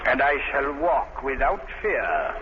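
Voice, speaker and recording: An actor playing a merciless megalomaniac criminal mastermind in an old radio crime drama, speaking formally and with menace. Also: male voice, mid-range voice, very animated, slow. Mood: gloating menace. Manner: menacing, formal, grandiose villain delivery. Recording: noisy, muffled vintage radio recording.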